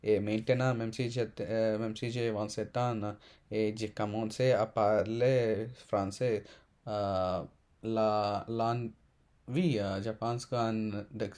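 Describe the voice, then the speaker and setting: A man in his twenties from India, a Telugu speaker, talking in halting learner's French with many pauses and self-corrections. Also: fairly low pitch, a little mellow. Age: 20s. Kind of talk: casual unscripted monologue.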